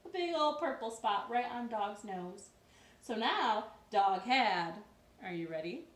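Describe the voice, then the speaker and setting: A woman hosting a storytime for young children, reading aloud in an expressive, playful way with drawn-out words and questions to her listeners. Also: medium pitch. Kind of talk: animated picture-book read-aloud for children. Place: living room at home. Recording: roomy, distant mic with faint noise.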